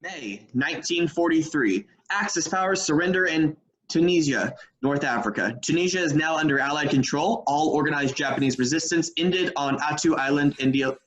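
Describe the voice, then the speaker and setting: A man's voice: fairly high pitch, normal speed, fairly bright tone, roomy sound, muffled recording. A man reading news-style scripted announcements in a play reading over a video call. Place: echoey room on a video call.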